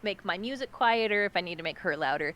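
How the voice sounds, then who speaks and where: A young woman hosting a streamed collab in casual chat, loud and bubbly, laughing often and poking fun at herself. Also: mid-range voice, animated, fast. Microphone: streaming mic over voice chat.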